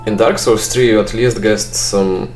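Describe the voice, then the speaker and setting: A man's voice: lowish voice, steady tone, a little slow, a little mellow, faint music behind. A young man with a Polish accent, a non-native English speaker, giving dry, self-mocking gameplay commentary and thinking aloud with fillers. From Poland. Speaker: let's play gamer.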